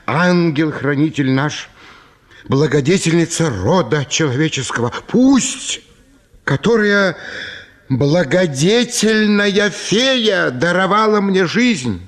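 A little old landowner down on his luck in a radio play. He flatters and gushes in florid, theatrical phrases, his highish voice swinging widely up and down. He takes his time over the words. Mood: reverent, gushing. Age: older. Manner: florid, theatrical, gushing obsequiousness. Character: small old impoverished landowner, effusive, fawning, grateful flatterer. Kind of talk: radio play acting of classic comic story.

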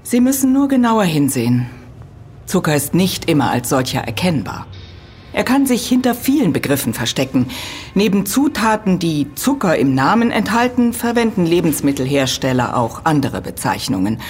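A woman's voice: fairly low pitch, sing-song, normal speed, a little mellow, soft background music. A woman playing a middle-aged professor in an audio drama, lecturing precisely and patiently correcting others with firm emphasis. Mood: didactic. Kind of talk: German audio drama dialogue.

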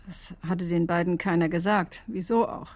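A woman's voice: on the low side, steady tone, normal speed, darkish tone, close to an everyday voice. An actress playing a headstrong, defensive female doctor in a radio crime drama. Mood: sober, bitter. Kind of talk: German radio drama acting.

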